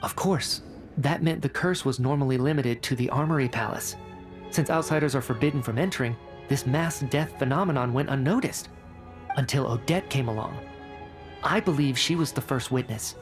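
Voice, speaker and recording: An actor playing a brisk, coolly rational scientist in a video game, articulate and clinical as he reports. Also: medium pitch, over music, natural voice. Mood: explanatory, detached. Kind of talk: video game cutscene acting.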